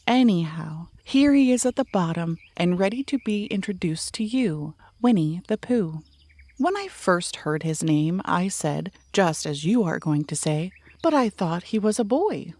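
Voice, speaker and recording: A woman's voice: fairly low pitch, animated, measured pace, darkish tone, no room sound. A woman narrating a scripted children's story as a storyteller.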